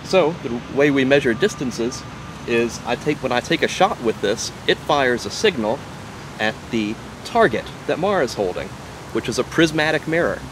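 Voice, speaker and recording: Man explaining, mid-pitched voice. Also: animated, normal speed, background noise. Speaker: archaeologist, surveyor. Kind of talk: explaining equipment in an interview. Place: outdoors by a wooden fence and trees.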